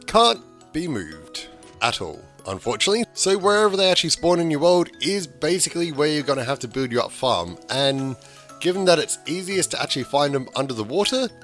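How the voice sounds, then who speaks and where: A man, slightly hoarse because he is losing his voice, talking through a game-building tutorial in a chatty way with frequent restarts. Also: on the high side, a bit bright. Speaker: gaming tutorial host. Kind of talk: casual game tutorial voice-over.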